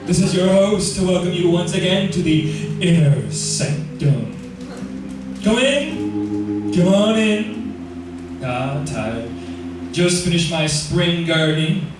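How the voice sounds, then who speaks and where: Slow, ghoulish radio horror host. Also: male voice, fairly high pitch, expressive. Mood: gleefully macabre. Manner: theatrical, drawn-out, macabre joking. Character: ghoulish, punning male host of a creaking-door horror show. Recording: echoey, distant old radio recording, music behind.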